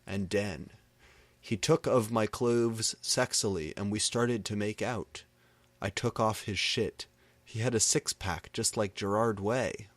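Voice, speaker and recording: Slow, low-voiced man reading fan fiction. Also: no room sound.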